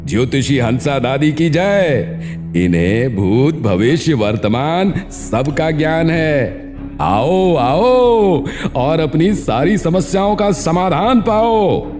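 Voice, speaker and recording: A man voicing an excitable, dramatic village figure in a cartoon story. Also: fairly high pitch, slow. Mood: proclaiming. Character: excitable village men, crier and aggrieved headman. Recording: long reverb, music bed.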